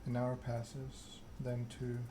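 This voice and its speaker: A man reading a story aloud in an ASMR narration style, measured and unhurried. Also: mid-range voice, completely flat delivery. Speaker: video game streamer reading game text aloud. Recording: noticeable background noise.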